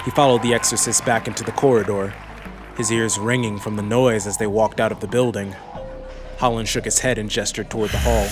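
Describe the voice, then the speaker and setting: A man's voice: on the low side, fairly even intonation, a little fast, over music, crowd noise. A man narrating a scripted fantasy audiobook as the storyteller.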